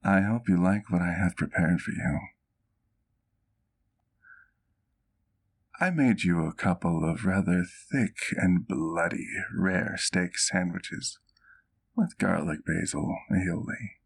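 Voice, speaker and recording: Casting: male actor for a genteel, doting vampire doctor in ASMR roleplay, low-pitched and unhurried, affectionate and gently teasing. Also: creaky, heavily acted. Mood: warm, playful. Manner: genteel, formal diction, affectionate. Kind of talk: intimate ASMR roleplay acting.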